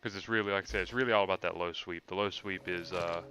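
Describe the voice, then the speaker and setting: A man's voice: deep voice, fairly even intonation, quick pace, pushed and bright, faint music behind. A man giving casual live gameplay commentary as a streamer, thinking aloud and explaining tactics. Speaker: video game streamer.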